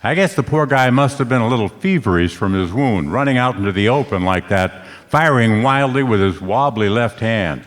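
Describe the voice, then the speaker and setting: A man's voice: mid-range voice, lively intonation, moderate pace, hardly any pauses, natural voice. A man playing a tough, wry private detective in an old-time radio play, both narrating and talking. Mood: grim. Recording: echoey hall stage recording, music behind.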